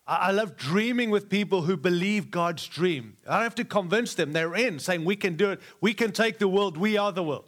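A man's voice: high-pitched, animated, normal speed, few pauses, a bit bright. A middle-aged man, preaching to a live church with repeated, rousing phrases and jokes for the congregation. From Australia. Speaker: guest preacher.

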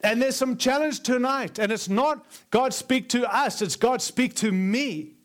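A man with an Australian accent preaches to a congregation in a church, his voice sitting noticeably high. He is earnest and emphatic, piling up repeated phrases as he drives each point home. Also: a little slow, a bit bright. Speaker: guest preacher. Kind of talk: sermon. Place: large church before a live congregation.

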